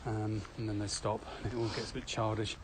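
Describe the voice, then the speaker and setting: A man's voice: fairly low pitch, fairly quick, low-quality recording. A man talking casually as co-host of a podcast. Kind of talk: casual conversational podcast chat. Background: steady ambient noise.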